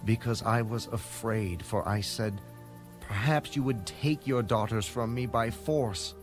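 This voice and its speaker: A man's voice: fairly low pitch, a little slow, faint music behind, natural voice. A man playing a fearful, defensive biblical husband and herdsman, earnest and declamatory, in an audio Bible drama.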